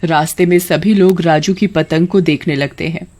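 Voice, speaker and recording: A woman's voice: lowish voice, steady tone, normal speed, a little mellow. A woman narrating a scripted moral tale as a storyteller.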